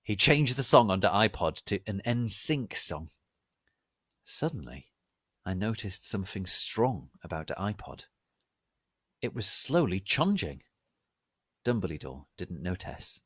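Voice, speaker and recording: A man performs a dramatic comic reading of fan fiction. His voice sits fairly low but moves up and down a great deal. The delivery is exaggerated and theatrical, in a dry, close sound. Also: sing-song, a little slow, long pauses, fairly bright tone, husky, no room sound. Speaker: reader.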